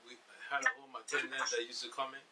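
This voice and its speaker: A man's voice: mid-range voice, level tone, fast, a crowd in the background. A man speaking casually and emphatically in colloquial English, telling personal stories on a radio panel. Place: radio discussion with several people. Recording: hissy, distant-sounding studio talk.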